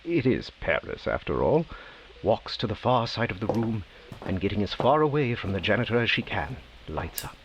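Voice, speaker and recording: An actor playing a dramatic storyteller, narrating a whimsical radio play with theatrical, deliberate phrasing. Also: male voice, lowish voice, sing-song, a little hiss or hum, rough recording. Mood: wry, dramatic. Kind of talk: scripted dramatic narration, old-time radio.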